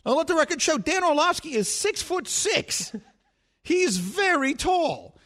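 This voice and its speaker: A middle-aged man hosting a sports talk show, telling stories with animated self-mocking humor. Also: very high-pitched, unhurried. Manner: animated storytelling, laughs at himself.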